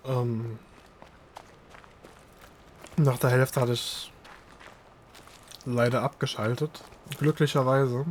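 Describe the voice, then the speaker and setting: A man's voice: mid-range voice, darkish tone, a little hiss or hum. A man giving casual let's play commentary in a hesitant, understated way.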